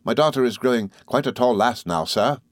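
A man voicing a meek, timid middle-aged country doctor who speaks slowly and apologetically, stammering and adding sir to nearly every phrase. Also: medium pitch, animated, no room sound, studio quality, pitched above the performer's own voice, close to an everyday voice. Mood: meek, fluttered. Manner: slow, apologetic, hesitant, with deferential sirs.

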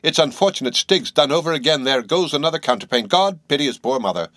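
A man voicing a bossy middle-aged innkeeper woman who scolds and gives orders briskly. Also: on the high side, animated, fairly bright tone, no room sound, studio quality, voice pushed up. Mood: lamenting.

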